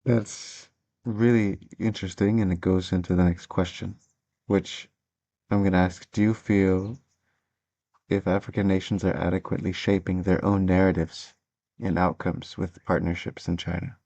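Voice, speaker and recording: Deep-voiced male interviewer, dry sound. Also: little pitch movement, creaky, mellow, dry recording, muffled recording. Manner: measured, asks follow-up questions. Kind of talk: interview questions.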